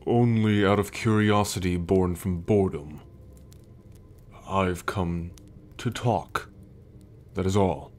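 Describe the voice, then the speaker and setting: A man's voice: low voice, takes it slowly, long pauses, darkish tone, slight background noise. An actor playing an arrogant, brooding male sun god in an ASMR roleplay drama, with a scornful, formal, imperious manner. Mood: grudging disdain. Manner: haughty, scornful, formal, sighing. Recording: background music under the voice.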